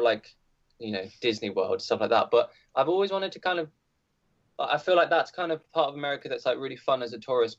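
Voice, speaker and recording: Male guest, easygoing rambler, middle pitch, muffled recording. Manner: chatty, hesitant with many fillers. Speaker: band member, guest. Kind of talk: casual chat.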